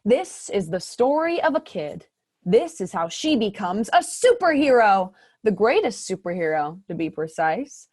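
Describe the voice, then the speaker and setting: A woman's voice: medium pitch, very animated, unhurried, a little mellow, breathy edge. A woman playing a vain, sarcastic narrator who boasts and makes snarky asides in a community stage play. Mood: theatrical.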